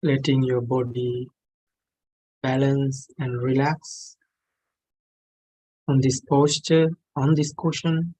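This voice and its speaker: Male meditation teacher, non-native English, very slow delivery, mid-pitched, gentle phrases with long pauses. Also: level tone, slightly rough, low-quality recording. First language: not English. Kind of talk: guided meditation instruction.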